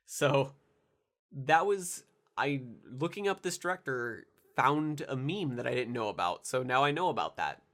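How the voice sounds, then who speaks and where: Congested nasal young man, lively pitch. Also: unhurried. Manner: rambling with many fillers and restarts, excitable. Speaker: anime video reviewer. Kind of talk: casual rambling commentary.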